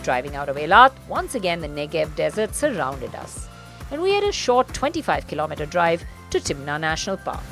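A middle-aged woman hosts a travel and motoring show, describing what she sees with real enthusiasm. Her voice sits a little low for a woman. Loud background music plays under her narration. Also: big pitch swings, fairly quick. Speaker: motoring journalist and travel host. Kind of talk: travel vlog narration and on-camera commentary. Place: underwater observatory, then inside a car.

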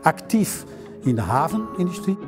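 A man in his fifties with a Flemish accent, a museum director recounting a collector's history in a documentary interview, unhurried and storytelling, with occasional "eh" fillers, on a lapel mic in a library room. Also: high voice, very animated, music in the background.

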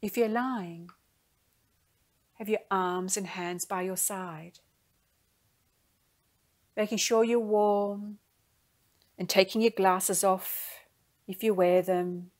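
Slow, gentle woman guiding a meditation. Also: medium pitch, fairly even intonation. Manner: gentle, unhurried, soothing, with long soft phrases. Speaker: meditation guide. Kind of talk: guided meditation.

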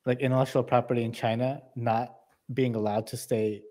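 Analytical male co-host, middle-pitched. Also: little pitch movement, fairly quick, mellow. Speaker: show co-host. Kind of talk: casual political commentary. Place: indoor room, livestream video call.

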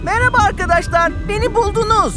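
A woman's voice: extremely high pitch, fairly quick, music in the background. A woman voicing a friendly, eager cartoon jellyfish who chats like a welcoming tour guide. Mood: happy. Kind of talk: children's cartoon dubbing in Turkish.

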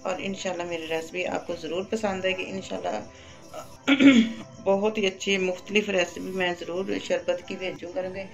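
Middle-aged woman, Pakistani Urdu accent, low and gravelly, talking homely in a home kitchen over background music. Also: steady tone, normal speed. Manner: homely, chatty, repeats blessings. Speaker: home cooking channel host. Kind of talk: casual cooking demonstration.

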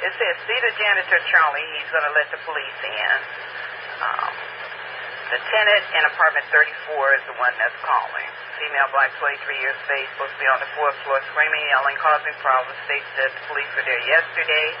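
A man's voice: a little high, steady tone, brisk. A man working as a police dispatcher, reading out call details in procedural style over a two-way radio. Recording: noisy, muffled police scanner radio.

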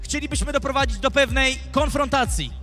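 A man working as a live ring announcer in an arena, projecting theatrically with drawn-out words to hype a fight. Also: very high voice, pushed and bright, soft background music. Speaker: MMA event ring announcer. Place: fight cage in a large indoor arena. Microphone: handheld microphone.

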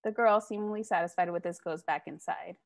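A woman's voice: fairly low pitch, fairly quick, gravelly. A woman in her thirties reads scripted stage directions aloud at a table read, on an earbud headset over a video call from home. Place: living room at home.

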